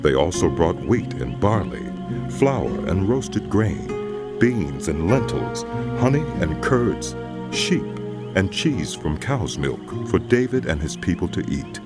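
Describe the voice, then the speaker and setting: A man giving solemn, measured dramatic narration of scripture as a scripted audio production. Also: sits low, big pitch swings, loud music behind the voice.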